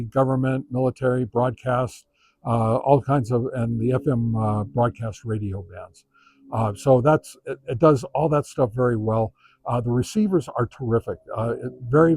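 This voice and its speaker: Husky-voiced man giving a halting gear review. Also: mid-range voice, a little mellow, no room sound. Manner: halting, pausing mid-sentence. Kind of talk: casual product review monologue.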